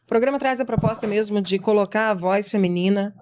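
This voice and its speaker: A woman's voice: medium pitch, brisk, darkish tone. A woman co-host explaining her new show in an articulate, explanatory manner. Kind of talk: casual talk show conversation.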